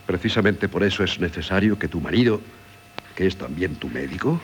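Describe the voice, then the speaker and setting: Casting: grave, caring doctor husband in 1970s radio play, very deep voice, Castilian accent, measured. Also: male voice, animated. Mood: grave, resigned. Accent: Castilian Spanish. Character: solicitous, resigned husband and doctor pleading gently. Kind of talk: 1970s Spanish radio theatre acting. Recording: old radio broadcast, clear hum.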